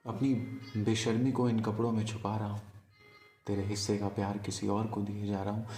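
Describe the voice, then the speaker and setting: Low-voiced man, wistful monologue over music. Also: flat delivery, mellow, roomy sound. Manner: slow, deliberate, wistful delivery with pauses. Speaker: spoken-word storyteller. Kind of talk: dramatic Hindi love monologue, poetic storytelling.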